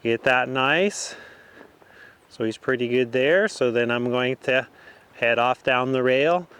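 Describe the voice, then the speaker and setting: A man's voice: medium pitch, slow, fairly bright tone, clean tone. A man working as a horse riding instructor, informally explaining each step while he demonstrates from the saddle.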